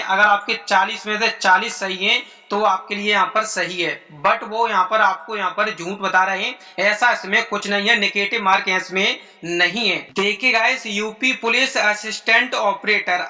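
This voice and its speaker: High, very bright-voiced man explaining. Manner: repetitive, formulaic phrasing. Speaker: exam-prep presenter. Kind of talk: informal explainer addressed to students.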